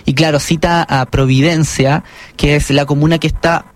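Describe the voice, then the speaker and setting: A man's voice: a little high, steady tone, normal speed. A man, a book author talking as a guest in an interview, hesitant with many restarts and fillers. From Chile. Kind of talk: casual interview conversation.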